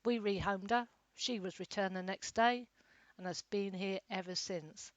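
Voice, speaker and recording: A woman voicing a spiteful, scornful female kennel worker who speaks loudly and gruffly, in a storybook reading. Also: mid-range voice, slow, no room sound, close to an everyday voice. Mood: sneering. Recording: dry, slightly dull lo-fi.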